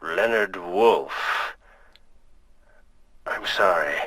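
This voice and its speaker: An actor playing a bitter, sinister older cult fanatic, speaking deliberately and ominously in a dramatic video game style. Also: male voice, deep voice, animated, gravelly, fairly bright tone, husky, heavily acted. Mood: grave, probing.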